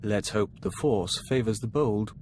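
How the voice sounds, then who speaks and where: Game character, played by a man: tranquil diplomat with hive mind, deliberate, courteous, lowish tone. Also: slight background noise, natural voice. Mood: steady, resolved. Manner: calm, formal, deliberate. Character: serene male diplomat joined to an insect hive mind. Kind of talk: video game cutscene acting.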